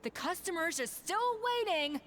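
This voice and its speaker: A woman's voice: very high-pitched, moderate pace, a bit bright. A woman playing a stern, exacting female head chef in a video game, scolding in a clipped, imperious way.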